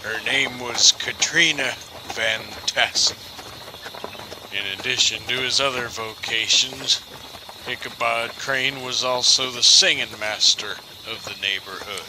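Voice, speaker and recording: A man playing a folksy, wry storyteller guide, narrating an audio drama in a theatrical, deliberate manner. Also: medium pitch, background noise, close to an everyday voice. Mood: wry, teasing.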